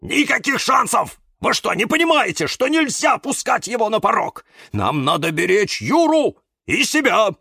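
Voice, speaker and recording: A man voicing a grumpy, hot-tempered and suspicious cactus in a children's story, barking loudly and indignantly. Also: sits high, big pitch swings, moderate pace, vocal fry, hardly any pauses, slightly rough, no echo, clean studio sound, voice pushed up, full character voice. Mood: furious, fearful.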